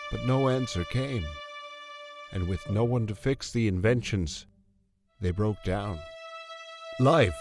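A man narrating a fairy tale in a solemn, theatrical storytelling manner. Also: mid-range voice. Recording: loud music under narration.

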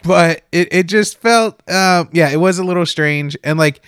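Guy w a smooth kinda high voice chatting about movies, wry and loose, really dry sound. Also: moderate pace, fairly bright tone, no echo. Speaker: podcast co-host. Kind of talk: casual film discussion chat.

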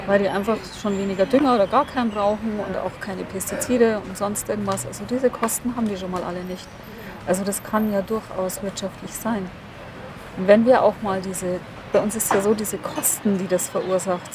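A woman giving spontaneous, earnest explanations as an interviewed expert, in informal, loosely built sentences. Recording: clearly noisy, vehicle-like ambient rumble.

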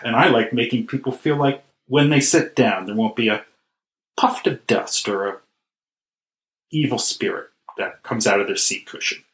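A man playing a dry, unimpressed colleague in an improvised comedy sketch, speaking in a measured, hesitant way. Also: medium pitch, fairly bright tone, close to an everyday voice. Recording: echoey room, distant from the mic.

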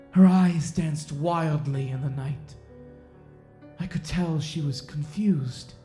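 Woman playing a slow, menacing Puritan sheriff. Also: low voice, dark tone. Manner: deliberate, brooding, halting with long pauses. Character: menacing, self-righteous male Puritan sheriff, coldly predatory. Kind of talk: audio drama acting, slow dramatic monologue. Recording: roomy echo under music.

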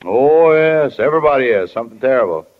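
An actor playing a wry, folksy small-town American man, slow to commit, in 1940s radio drama style. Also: medium pitch, animated, natural voice. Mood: wry, unhurried. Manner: folksy, drawling, deliberate. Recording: dull, echoing 1940s radio recording.

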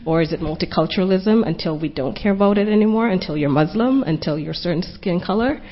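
Woman on a conference panel, lowish voice, earnest and reflective, kinda dull lo-fi sound. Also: fast. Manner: earnest, reflective, thinking aloud. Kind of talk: panel discussion.

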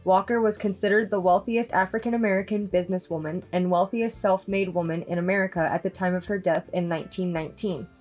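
A woman's voice: lowish voice, steady tone, quick pace, few pauses, a little mellow, faint music behind. A woman reading scripted biographical narration aloud.

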